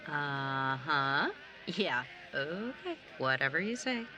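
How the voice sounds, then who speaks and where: A woman's voice: low voice, sing-song, slow, sharp tone, soft background music, heavily acted. A young woman playing a sarcastic, blunt nineteen-year-old younger sibling in a naturalistic audio drama. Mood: teasing. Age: young adult. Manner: sardonic, blunt, casual.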